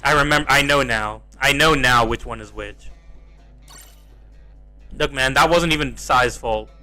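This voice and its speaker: A man's voice: mid-range voice, measured pace, bright, forceful tone, soft background music. A young Australian man livestreaming a mobile game, chatting casually to viewers in a rambling, exasperated way, swearing and repeating himself. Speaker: gaming streamer. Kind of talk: casual livestream chat while playing.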